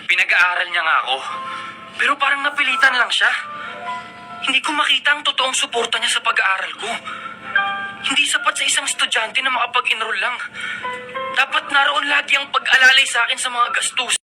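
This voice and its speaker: A very high-voiced young college student, the wounded younger son of a radio drama. He speaks with plaintive emphasis and simmering resentment. Loud music plays behind him. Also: fairly quick, pauses often, heavily acted, low-quality recording. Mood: hurt, resentful. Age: young adult. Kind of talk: emotional Filipino radio drama acting.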